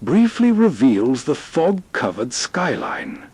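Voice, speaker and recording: A male host delivering steady, atmospheric scripted narration that sets a scene. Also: mid-range voice, slow pace, slightly rough, no room sound.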